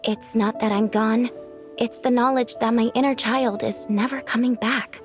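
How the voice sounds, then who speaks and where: A woman voicing a composed, analytical young female therapist in a video game, measured and explanatory. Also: on the high side, fairly even intonation, faint music behind, natural voice. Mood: grave, clinical. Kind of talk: animated video game dialogue.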